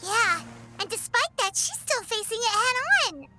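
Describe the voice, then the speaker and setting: A very high, squeaky little fairy girl speaks with earnest, plucky energy. She is a loyal, excitable video game companion, with loud music playing behind her. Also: a little slow, full character voice. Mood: earnest, worried. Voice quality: squeaky, childlike. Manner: refers to self in third person, earnest.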